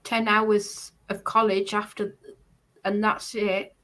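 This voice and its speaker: A woman's voice: mid-range voice, flat delivery, slow, pushed and bright. A woman chatting casually on a live stream, talking in a defensive, insistent way.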